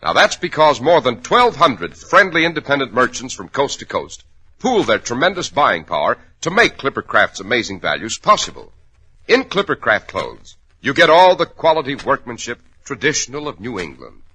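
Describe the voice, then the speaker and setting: A man's voice: mid-range voice, huge pitch swings, normal speed, pushed and bright, husky. A man with an American accent, a polished and emphatic 1940s radio announcer and host delivering scripted lines.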